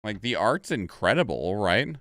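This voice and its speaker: Clear, lively man reacting. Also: lowish voice, normal speed, no echo, studio quality. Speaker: reaction video host. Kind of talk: casual reaction commentary.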